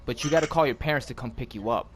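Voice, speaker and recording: A man's voice: mid-range voice, very fast, slight background noise. A man with an American accent casually telling a story to friends, slangy and profane, acting out the dialogue as he goes. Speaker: gaming channel host telling a story.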